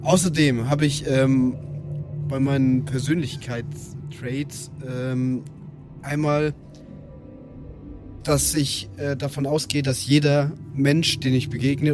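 A man talking casually as a role-play player, hesitantly searching for his words. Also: medium pitch. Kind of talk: casual group chat. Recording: prominent music bed underneath. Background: background music.

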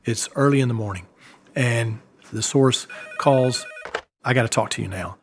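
A man, a former embassy security agent, recounting events in a measured, storytelling way with occasional fillers. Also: medium pitch, a little hiss or hum. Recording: music bed underneath.

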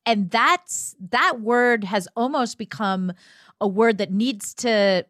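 A woman speaking earnestly in a reflective group discussion, choosing her words deliberately as a podcast panelist. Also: on the high side, a bit bright, dry recording, studio quality.

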